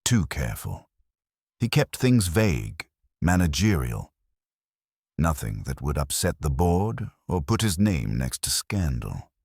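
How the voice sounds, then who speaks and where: Very deep-voiced male mystery narrator. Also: very animated, a little slow, vocal fry, darkish tone, breathy edge, dry recording, clean studio sound. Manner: wry, clipped storytelling. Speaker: audiobook narrator in the part of a wry detective's assistant. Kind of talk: scripted first-person hardboiled mystery narration.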